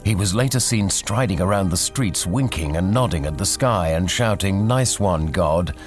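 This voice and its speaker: A male narrator reads scripted material in a deep voice. His delivery rises and falls with lively expression, over music. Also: normal speed. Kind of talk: scripted documentary narration and reading. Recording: music behind the voice.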